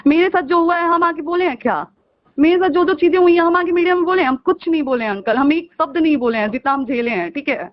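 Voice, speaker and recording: A woman's voice: high-pitched, quick pace. An upset young woman on a phone call, arguing insistently and pleading, often repeating herself, in a heated conversation. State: upset, aggrieved. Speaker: caller. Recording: muffled lo-fi phone call recording.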